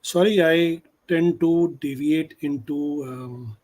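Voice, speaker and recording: A man's voice: on the high side, takes it slowly, a little mellow. A man with an Indian accent, whose first language is Hindi, giving emphatic stock market commentary and mixing in Hindi. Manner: emphatic, switches between English and Hindi. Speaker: stock options trader.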